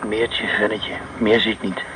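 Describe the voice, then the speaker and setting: An actor playing a brooding man haunted by war memories, halting and repeating phrases, in a radio play. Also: on the low side, little pitch movement, very noisy, lightly acted.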